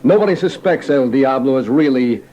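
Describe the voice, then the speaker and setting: A man's voice: mid-range voice, animated, moderate pace, warm tone, natural voice. A man acting an eager outlaw henchman in an old-time radio western. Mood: eager, admiring. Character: eager outlaw henchman, admiring his boss. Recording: dull old radio recording.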